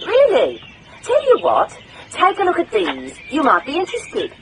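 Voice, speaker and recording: A woman's voice: very high-pitched, wildly swooping pitch, moderate pace, noisy background, exaggerated voice. An actress playing a shrewd female private investigator in a radio drama, speaking and narrating in a wry, controlled, pointed way. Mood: coolly threatening. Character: shrewd, steely female private investigator, quietly morally indignant. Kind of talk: radio drama acting and first-person narration.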